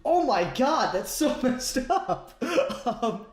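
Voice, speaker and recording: A man's voice: very high-pitched, very animated, takes it slowly, roomy sound, faint music behind. A man talking casually and informally in a podcast discussion, swearing freely. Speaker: podcast host.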